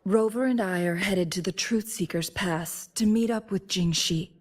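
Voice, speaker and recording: An actress playing an earnest, determined female fighter in a video game, speaking in a measured, polite and purposeful way. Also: mid-range voice, a little hiss or hum, close to an everyday voice. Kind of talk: scripted video game dialogue.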